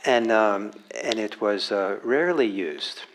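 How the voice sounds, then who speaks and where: A lower-voiced man giving a meditation talk, unhurried and reflective. He speaks haltingly, often breaking off and restarting his phrases as he thinks aloud. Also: noisy background. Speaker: meditation teacher. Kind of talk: dharma talk. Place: meditation hall.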